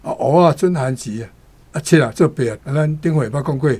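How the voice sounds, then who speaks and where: A man with a Taiwanese accent explaining words and idioms, repeating them deliberately. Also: fairly high pitch, a little mellow, husky. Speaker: language commentator.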